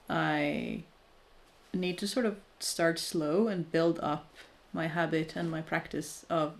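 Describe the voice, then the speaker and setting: A woman in her thirties chatting casually and candidly with her viewers, thoughtful and self-deprecating, often restarting her sentences. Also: lowish voice. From Norway. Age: 30s. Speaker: crafting streamer chatting with viewers. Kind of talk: casual live chat.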